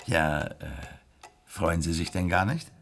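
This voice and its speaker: An actor playing a jovial, self-satisfied prison director in a radio drama, speaking haltingly and chattily. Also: male voice, sits low, loud music behind the voice. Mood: hesitant, coaxing. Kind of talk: German radio drama acting.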